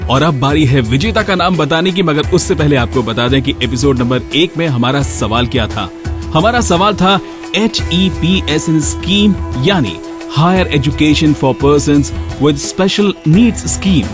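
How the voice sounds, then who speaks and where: Higher-pitched male radio host over music. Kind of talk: scripted radio narration. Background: music bed.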